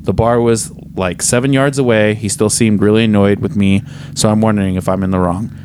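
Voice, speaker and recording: A man's voice: lowish voice, steady tone, brisk, darkish tone, a little hiss or hum. A man hosting a casual podcast, swearing often and reading a story aloud with many fillers. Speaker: podcast host.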